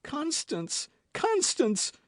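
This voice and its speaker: A man's voice: fairly high pitch, big pitch swings, moderate pace, a bit bright, no room sound, studio quality, voice pushed up. A man doing a frantic young lover crying out. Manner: crying out, urgent.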